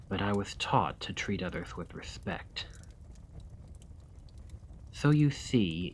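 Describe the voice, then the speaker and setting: A man playing a desperate, weary immortal gentleman vampire in an ASMR roleplay, speaking formally and courteously in a breathy, husky voice that often drops to a whisper. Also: low-pitched, slight background noise, full character voice, low-quality recording. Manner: formal, courtly, old-fashioned phrasing.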